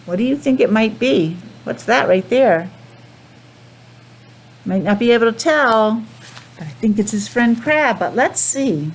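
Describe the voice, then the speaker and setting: A woman reads a storybook to small children in a lively, swooping voice. She is a teacher and breaks up the reading with chatty explanations and simple questions. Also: fairly high pitch, measured pace, long pauses, slightly rough. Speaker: teacher reading a picture book to young children. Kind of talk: storybook read-aloud with chatty asides and questions.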